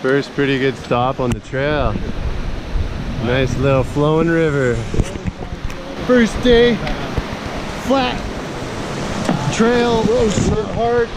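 A man's voice: on the high side, extremely slow, pauses often. Young man, hiking vlogger, joking and laughing, action camera at arm's length, forest creek noise. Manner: laid-back, laughing, joking. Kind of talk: casual hiking vlog. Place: outdoors on a forest trail by a creek. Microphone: action camera held at arm's length. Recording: loud outdoor noise on camera mic.

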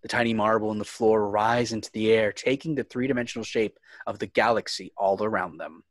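A man's voice: fairly low pitch, fairly quick, dry recording. Male narrator, close dry sound. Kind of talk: scripted screenplay narration. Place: indoors on a home video call.

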